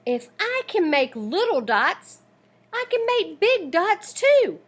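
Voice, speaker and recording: Woman voicing a high-pitched, stubborn young schoolgirl. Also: sing-song, slow, exaggerated voice. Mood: determined.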